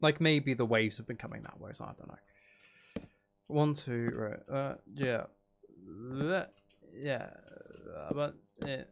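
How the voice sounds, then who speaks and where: Male game streamer, mid-pitched and gravelly with fry, casual, self-deprecating, muttering to himself; faint noise and clicking behind. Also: unhurried. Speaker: live streamer playing a building game. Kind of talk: casual chat with viewers while gaming.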